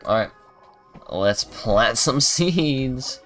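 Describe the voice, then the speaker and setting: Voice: medium pitch, animated, drawn-out words, fairly bright tone, soft background music. A streamer giving casual, playful gameplay commentary, muttering along and making little sing-song sound effects. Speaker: game streamer.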